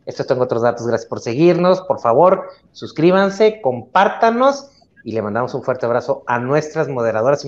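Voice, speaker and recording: A middle-aged man with a Mexican accent hosting a live interview over a video call, speaking somewhat haltingly. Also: a little high. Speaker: talk show co-host. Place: indoor room at home, video call. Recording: dull lo-fi video call audio.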